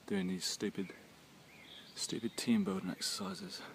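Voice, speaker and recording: Low-voiced young man vlogging in a park. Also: unhurried, gravelly. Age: 20s. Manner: relaxed and chatty after a workout, laughs often. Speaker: fitness vlogger. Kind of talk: casual vlog chat. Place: outdoors in a wooded park. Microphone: phone held close with earphone mic. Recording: phone recording outdoors with faint nature noise. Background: faint birds and outdoor ambience.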